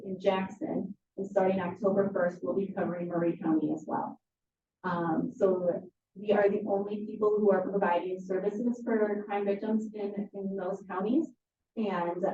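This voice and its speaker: A woman from a victim services agency giving a steady, explanatory presentation to a county board, with frequent ums. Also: mid-range voice, a little hiss or hum. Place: county board meeting room. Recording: roomy, distant, muffled meeting recording.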